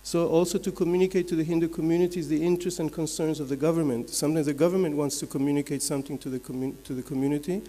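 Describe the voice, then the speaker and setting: A man from Belgium, giving a measured, explanatory slide presentation as a conference speaker, point by point. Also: on the high side, fairly even intonation, warm tone. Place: large conference hall. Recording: echoey hall with long reverb.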